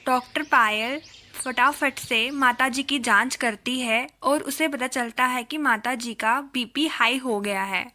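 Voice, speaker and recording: A woman narrates a children's story in a high, bright voice. She takes her time, telling it at a slow, scripted pace.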